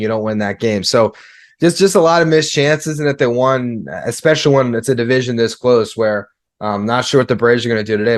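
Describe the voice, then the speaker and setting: A man's voice: lowish voice, no echo. A man in his twenties talking casually and at length about sports as a podcast guest.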